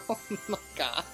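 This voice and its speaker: Girl streamer gushing over a game, highish voice, bit of music in the back. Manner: gushing, sentimental. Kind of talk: casual gameplay reaction and reading game dialogue aloud. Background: video game music.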